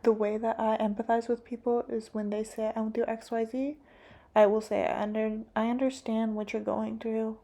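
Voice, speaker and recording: Soft, halting woman with a gravelly, mellow voice. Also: a little high, flat delivery. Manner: soft, halting, many fillers, drops into whispers. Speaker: painter. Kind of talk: reflective monologue about her painting.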